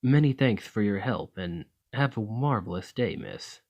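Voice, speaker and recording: A man acts out a weary, gallant vampire gentleman from an audio roleplay, centuries old and desperate for a cure. His voice sits fairly low and moves very slowly. He phrases everything with formal, old-world politeness. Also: expressive, vocal fry, lo-fi sound, exaggerated voice. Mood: courteous. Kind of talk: scripted ASMR roleplay acting.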